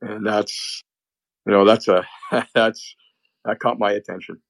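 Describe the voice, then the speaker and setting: A man talking hockey as an analyst in a casual chat, animated and often restarting his sentences. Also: lowish voice, unhurried, creaky, no echo.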